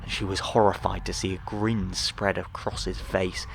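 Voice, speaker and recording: A man's voice: low-pitched, level tone, normal speed, vocal fry, a little hiss or hum, poor audio. A man narrating a dramatic sci-fi audiobook from a script.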